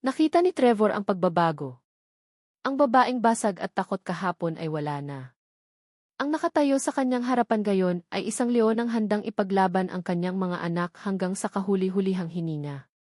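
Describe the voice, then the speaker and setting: A woman's voice: medium pitch, lively intonation, moderate pace, darkish tone, no echo, studio quality. A woman reading scripted dramatic narration of a romantic story.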